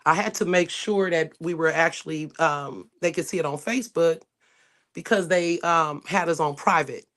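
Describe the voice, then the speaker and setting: A woman's voice: low-pitched, moderate pace, a bit bright. A woman hosting a casual live online panel over a video call, talking loosely and often restarting her sentences. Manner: loose, rambling, with frequent restarts. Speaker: panel host.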